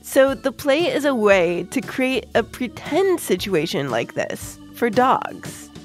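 A woman hosting a kids' science podcast, explaining things clearly and simply in a conversational way. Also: on the high side, very animated, takes it slowly, faint music behind. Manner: clear simple explaining, addressing young listeners. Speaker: podcast host.

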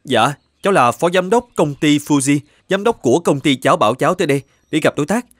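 A man's voice: a little high, lively intonation, fast, no echo, studio quality. A man voicing a younger company deputy director in a cartoon, polite and businesslike. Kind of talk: animated moral-tale dubbing.

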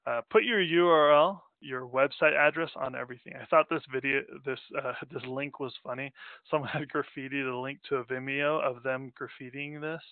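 A man's voice: medium pitch, steady tone, normal speed, clean tone, dry recording. A man from Denmark presenting a business webinar in a casual, rambling way, full of fillers and restarts. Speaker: company president presenting a webinar. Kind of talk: unscripted webinar presentation over slides. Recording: tinny phone-like audio, dry.

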